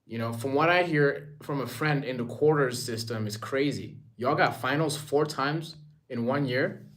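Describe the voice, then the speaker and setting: A man's voice: mid-range voice, moderate pace, a bit bright, rough recording. A young man from Rwanda talking casually and informally to his live-stream viewers, using slang and often repeating himself.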